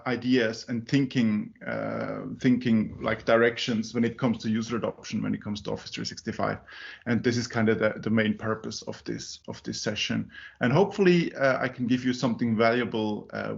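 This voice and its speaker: Finnish-born guy who grew up in zurich, gravelly voice, lots of uhs and restarts, kinda dull call audio. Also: medium pitch. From Finland, grew up in Zurich. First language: not English. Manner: halting, many fillers and restarts. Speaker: conference speaker. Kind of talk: presentation at a user group meeting.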